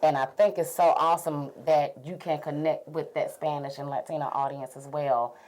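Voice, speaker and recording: A woman's voice: low-pitched, steady tone, moderate pace, darkish tone. A woman hosting a talk show interview, encouraging her guest with frequent affirmations.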